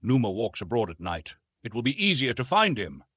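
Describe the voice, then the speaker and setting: A fearless jungle-raised man among gentlemen voiced by a male audiobook narrator, dry and self-assured, choosing measured words. His voice has a husky grain and wide intonation, recorded close and dry. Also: mid-range voice, very animated, no room sound. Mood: calm, resolute. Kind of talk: audiobook character dialogue.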